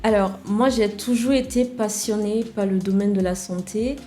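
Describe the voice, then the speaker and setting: A young woman from Nigeria whose first language is English, speaking French as a guest in an interview, candid and soft-spoken with hesitant fillers. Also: medium pitch, fairly even intonation, normal speed. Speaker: guest, web developer. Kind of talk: interview conversation.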